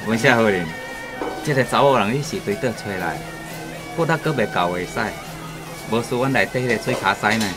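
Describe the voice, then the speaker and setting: An actor with a Taiwanese accent playing a confident, boastful veteran village chef in a radio drama. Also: male voice, lowish voice, animated, fairly quick, pauses often, music in the background, natural voice. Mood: chatty.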